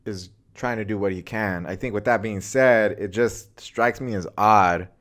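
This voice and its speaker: A man's voice: on the low side, measured pace, a bit bright. An American man co-hosting a podcast, talking through the news in a conversational way. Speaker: podcast co-host.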